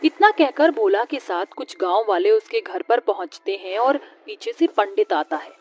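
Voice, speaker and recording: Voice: mid-range voice, lively intonation, normal speed, soft background music. Muffled storyteller reading a scripted horror tale. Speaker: storyteller, narrator. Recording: dull lo-fi audio, faint noise.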